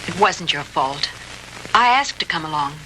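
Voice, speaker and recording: An actress playing a scared, devoted young captive in a 1960s B-movie, sounding worried on an old film soundtrack. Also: female voice, sits low, animated, moderate pace, background noise. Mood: worried, gentle. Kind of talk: 1960s B-movie drama acting.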